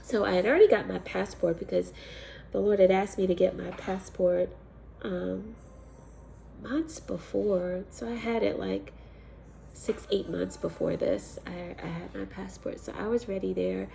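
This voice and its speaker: A woman's voice: medium pitch, unhurried, creaky, mellow, a little hiss or hum, poor audio. A woman with a cold and a stuffy, congested voice, chatting casually as a missionary telling her story, rambling with ums and little laughs. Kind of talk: casual personal testimony vlog.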